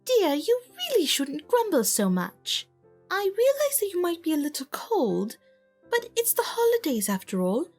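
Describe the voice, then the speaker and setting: A dainty female pony from a storybook reading. The voice sits extremely high and lilts widely. It coaxes in a cooing, genteel way over soft music. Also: wildly swooping pitch, moderate pace, full character voice. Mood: genteel chiding. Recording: music behind the voice.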